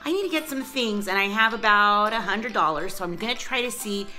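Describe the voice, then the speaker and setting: A woman vlogger chatting casually to her viewers, hoarse from a cold and losing her voice. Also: mid-range voice, brisk, pushed and bright, faint music behind. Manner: chatty, talks straight to viewers with asides. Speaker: vlogger showing a grocery haul. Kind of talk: casual chatty vlog talk.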